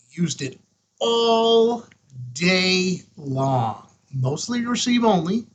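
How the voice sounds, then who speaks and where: A man's voice: fairly high pitch, fairly bright tone, slightly rough. A man hosting a casual hands-on product review, talking in a folksy, emphatic way with short punchy phrases. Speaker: host of a ham radio review show.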